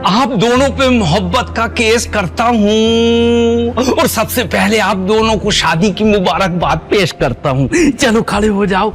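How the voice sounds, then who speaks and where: An actor playing a flamboyant, cheeky hustler of a court fixer who talks in rhyming verse in an audio drama. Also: male voice, high voice, measured pace, faint music behind. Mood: jubilant. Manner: theatrical, speaks in rhyming couplets. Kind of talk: Hindi audio drama acting, sing-song verse.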